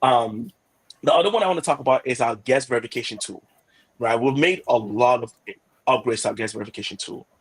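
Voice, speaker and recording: A man who also speaks Yoruba, walking viewers through software features in a product demo, often checking in with "right?". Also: medium pitch, breathy edge, low-quality recording. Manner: fast, frequent "right?" checks, thinking aloud with "um". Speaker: product presenter. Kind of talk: product demo webinar talk.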